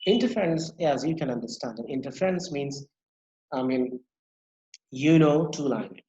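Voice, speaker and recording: A man's voice: mid-range voice, animated, moderate pace, warm tone, reverberant, highs cut off. A man lecturing online with a Bangladeshi English accent, a native Bangla speaker who restates points often with fillers. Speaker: university lecturer.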